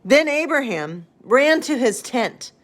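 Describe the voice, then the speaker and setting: A woman's voice: sits high, sing-song, slow pace, a bit bright. A woman pastor speaking informally and a bit ramblingly to her listeners as friends, chatting and reading children's bedtime stories. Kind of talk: casual chat and storybook reading for children.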